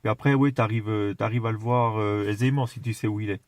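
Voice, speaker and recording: A man in a casual radio chat, explaining things with enthusiasm while haltingly searching for his words. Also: fairly low pitch, fairly even intonation, slight background noise. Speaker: amateur radio operator.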